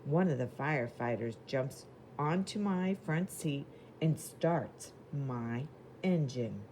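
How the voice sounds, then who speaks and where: A woman voicing a proud, eager little red fire engine in a children's storybook, with simple, expressive delivery. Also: a bass voice, slow pace, a little hiss or hum, exaggerated voice. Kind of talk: children's storybook character narration.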